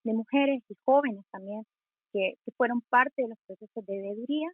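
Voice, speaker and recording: Brief: Honduran woman, twenties, activist guest, higher voice, thin phone-line sound, dry. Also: normal speed, slightly rough, no echo. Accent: Honduran Spanish. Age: 20s. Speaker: interview guest, student activist. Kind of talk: earnest interview answers. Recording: tinny phone-like call audio.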